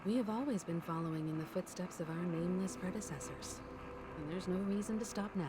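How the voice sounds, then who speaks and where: Low-voiced dignified female leader played by a woman. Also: noisy background, close to an everyday voice. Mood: grave. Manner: composed, measured. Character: calm, cautious, dignified female crew leader. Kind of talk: video game voice acting.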